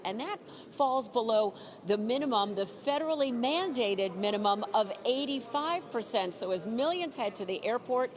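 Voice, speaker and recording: A woman delivers a live television news report in a mid-range voice. She keeps her phrasing conversational and puts emphasis on key words, as a field reporter would. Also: mid-range voice.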